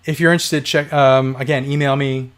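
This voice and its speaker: A man hosting a casual livestream from home, chatting and reading out viewer comments, with a voice going hoarse from a dry throat. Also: medium pitch, level tone, a little fast. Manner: chatty, rambling, laughs often. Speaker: livestream host.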